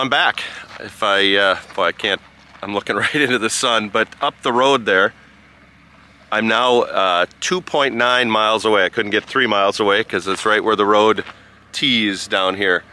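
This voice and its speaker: A man giving a casual vlog-style review outdoors in a halting, rambling way. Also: fairly low pitch, bright, forceful tone. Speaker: product reviewer and amateur radio operator. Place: outdoors by a rural road.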